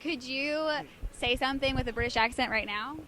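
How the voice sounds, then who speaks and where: A woman reporter interviewing people on the street, asking quick, upbeat follow-up questions. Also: high voice, sharp tone.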